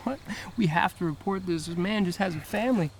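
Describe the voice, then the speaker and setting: A bitter, accusing young man, played by a man, with a high, strained voice. He speaks in a cutting, righteous way. Crickets chirp around him outdoors. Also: a little fast, a little hiss or hum, close to an everyday voice. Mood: desperate.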